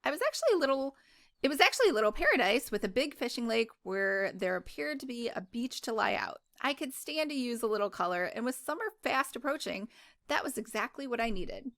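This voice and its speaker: A woman with a fairly high voice reads a story aloud as a book club host. Her delivery is informal and chatty, with small stumbles that she corrects as she goes. The sound is very dry, with no room echo. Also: sing-song, a little fast, a bit bright. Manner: informal, stumbles and self-corrects while reading. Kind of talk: casual read-aloud of a first-person novel with chatty asides.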